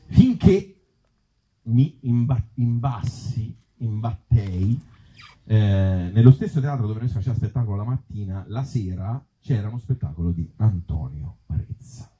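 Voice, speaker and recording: Dark-voiced Tuscan man, wryly comic. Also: lowish voice, expressive, measured pace. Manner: wry, self-mocking, hesitant asides. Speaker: author and actor. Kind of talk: live reading of absurd comic pieces and casual talk with audience. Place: outdoor festival event. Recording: muffled, dull live recording.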